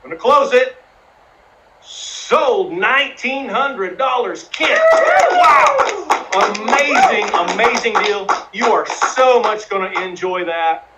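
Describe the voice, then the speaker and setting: A man calling a live charity auction, chanting bids in a fast rhythm and coaxing bidders to raise again. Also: very high-pitched, sing-song, heavy background noise. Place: live streamed fundraising event.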